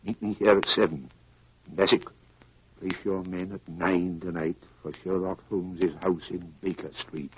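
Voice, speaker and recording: A husky, low-voiced criminal mastermind. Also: male voice, steady tone, no room sound. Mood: commanding. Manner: slow, deliberate, imperious. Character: menacing male criminal mastermind, coldly scheming, commanding his henchmen. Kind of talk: 1930s radio acting. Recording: dull vintage 1930s radio recording.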